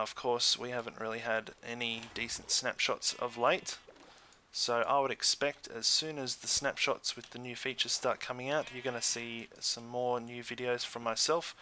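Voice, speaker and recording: Bright-voiced man, casual gaming commentary. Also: medium pitch, a little hiss or hum. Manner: hesitant, thinking aloud. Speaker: gaming video host.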